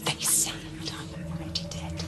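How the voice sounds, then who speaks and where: A woman's voice: moderate pace, in a whisper, loud music behind the voice, close to an everyday voice. An actress voicing a frightened, tormented woman haunted by voices in a video game, trembling and desperate. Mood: haunted, hushed.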